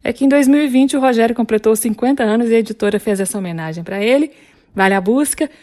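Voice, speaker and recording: A woman hosting a radio program, introducing songs and guests in a measured way. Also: on the high side, darkish tone.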